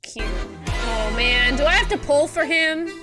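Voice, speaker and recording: A young woman streamer giving casual, gushing, excitable live reaction commentary. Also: sits high, measured pace. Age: young adult. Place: small indoor streaming room. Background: faint music from the video being watched.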